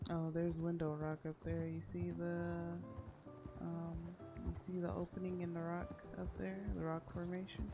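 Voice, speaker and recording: A woman roleplaying an easygoing frontier woman from Barbados, talking in a relaxed, hesitant way full of fillers. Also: fairly low pitch, moderate pace, natural voice. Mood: unhurried. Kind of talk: in-character roleplay chat. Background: game music.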